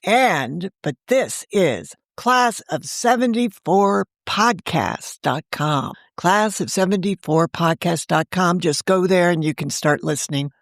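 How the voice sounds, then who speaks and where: A woman's voice: on the low side, animated, slow, hardly any pauses, no echo, studio quality. A woman novelist talking as an interview guest, telling stories in a chatty way and laughing often. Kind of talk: casual interview chat.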